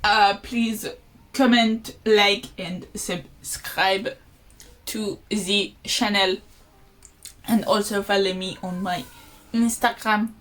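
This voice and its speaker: A woman's voice: medium pitch, fairly even intonation, slow, a bit bright, poor audio. A woman book vlogger chatting casually to camera at home, playful, with lots of fillers and jokey asides. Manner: chatty and playful, many "like" and "you know" fillers.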